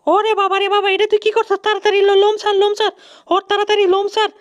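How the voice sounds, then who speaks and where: A performer voicing a bossy, boastful, easily panicked cartoon mouse uncle in colloquial Bangladeshi speech, excitable and repetitive. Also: very high-pitched, quick pace, no echo, studio quality. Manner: excitable, repeats words when panicking. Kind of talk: comic cartoon dub.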